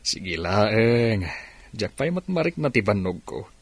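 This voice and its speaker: An actor playing a caring middle-aged father with a husky voice in a radio drama. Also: fairly low pitch, big pitch swings, takes it slowly, no echo, exaggerated voice. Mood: gentle, fatherly.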